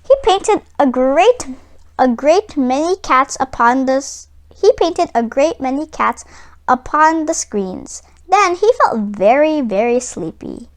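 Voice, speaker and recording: A woman reading a children's story aloud as a host, in an expressive, dramatic way, drawing out words for emphasis. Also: high voice, takes it slowly.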